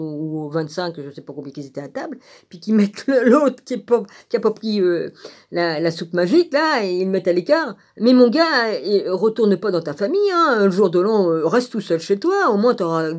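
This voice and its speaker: Blunt, irritated woman ranting informally. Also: lowish voice. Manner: blunt, irritated, crude colloquial speech; sarcastic, punctuates phrases with rhetorical tags. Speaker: vlogger. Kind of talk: rambling informal rant.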